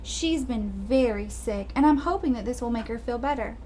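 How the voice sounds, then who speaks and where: A woman's voice: fairly high pitch, expressive, moderate pace, dark tone, a little hiss or hum, close to an everyday voice. A woman doing a caring storybook mother. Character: caring, careful mother of a little girl.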